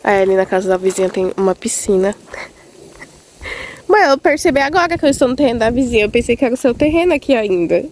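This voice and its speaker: A woman's voice: on the high side, expressive, fast talker, a little mellow. Chatty Brazilian woman vlogging outdoors in wind. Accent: Brazilian Portuguese. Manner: chatty, exclaiming at small things. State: congested with a cold. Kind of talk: casual vlog narration. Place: outdoors on rural land, windy. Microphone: handheld phone. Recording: windy outdoor phone recording. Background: wind and outdoor noise.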